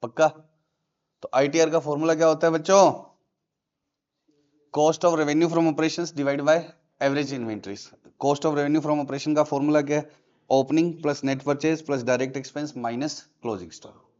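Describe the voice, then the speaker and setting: A man's voice: a little high, steady tone, normal speed, darkish tone. A male commerce teacher, lecturing in a classroom and quizzing his students with repeated questions. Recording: dull lo-fi classroom recording.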